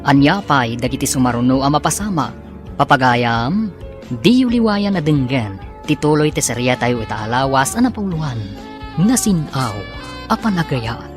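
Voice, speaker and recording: A man's voice: mid-range voice, lively intonation, normal speed, music in the background. A man with an Ilocano Filipino accent giving dramatic, emphatic radio narration as a serial's announcer, stretching key words.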